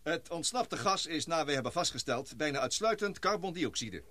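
An actor playing an earnest, excitable scientist and expedition leader in a Dutch radio play, speaking formally and precisely. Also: male voice, a little high, moderate pace. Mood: grave, explanatory.

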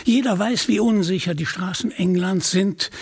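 A man voicing a calm, scheming, smug sheriff in a storybook, speaking in a quiet, sly manner. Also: high voice, normal speed, slightly rough, voice pushed up. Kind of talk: storybook character acting.

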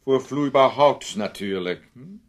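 A man's voice: medium pitch, lively intonation, a little slow, close to an everyday voice. An actor playing a bossy, prying, needling man in a radio play, with an imperious, theatrical manner. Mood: suspicious. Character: bossy, prying, needling man who orders another around.

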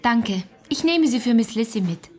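A woman's voice: a little high, expressive, moderate pace, darkish tone, slightly rough. A young-sounding woman playing an earnest, loyal, politely stubborn teenage girl in a German radio drama. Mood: polite, sceptical.

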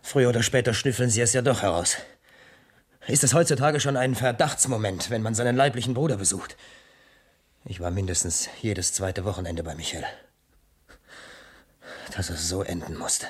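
An actor playing a proud, grieving painter, curt and defensive, in a radio crime drama. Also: male voice, on the low side, normal speed, pauses often, husky. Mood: bitter, grieving. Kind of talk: 1980s German radio crime drama acting.

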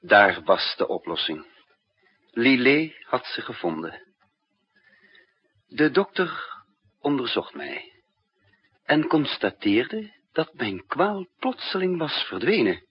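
A man's voice: mid-range voice, sing-song, takes it slowly, long pauses, breathy edge, heavily acted. An actor playing a weary male spy who tells his desperate story in dramatic first person in a 1960s radio drama. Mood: tense, dramatic. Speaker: narrator and lead character. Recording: dull muffled 1960s radio recording.

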